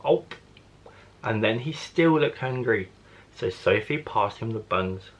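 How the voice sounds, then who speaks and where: A man's voice: on the low side, lively intonation, slow pace, highs cut off, a little hiss or hum. A man, a primary school teacher, reading a children's storybook expressively to young listeners.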